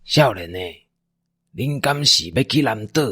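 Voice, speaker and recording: A man puts on an old village uncle for a radio play. He is folksy and resigned, with a husky, unhurried delivery and a dry, close sound. He sounds weary yet ready to help. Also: medium pitch, expressive, fairly bright tone, dry recording. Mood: cautioning, matter-of-fact. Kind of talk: Taiwanese-language radio drama acting.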